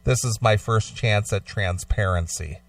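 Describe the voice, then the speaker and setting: A man's voice: fairly low pitch, unhurried, no echo, distant mic. A man co-hosting a radio talk show, reading news items aloud and chatting about them.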